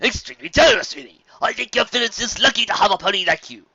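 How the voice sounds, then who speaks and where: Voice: medium pitch, lively intonation, quick pace, bright, forceful tone, slightly rough, no room sound, voice pushed up, exaggerated voice. A reader doing a courteous aristocratic stallion. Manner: courteous and gracious. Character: polite, well-meaning aristocratic stallion. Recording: dull lo-fi recording.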